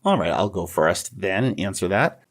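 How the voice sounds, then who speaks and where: A man's voice: low voice, expressive, normal speed. A middle-aged man speaking as a podcast co-host, in talk and reading. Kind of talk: friendly podcast chat and poem reading.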